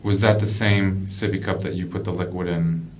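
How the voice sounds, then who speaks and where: Low-pitched male detective, distant muffled recording. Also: steady tone, a little hiss or hum. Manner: halting, repetitive questioning with frequent acknowledgements. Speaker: police detective interviewing a suspect. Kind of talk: police interview questioning. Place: indoor police interview room. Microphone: distant room microphone.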